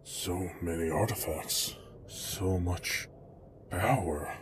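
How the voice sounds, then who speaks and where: An actor playing a cold, proud ninja assassin with ice powers in an audio drama, terse and defiant. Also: male voice, deep voice, takes it slowly, a little hiss or hum.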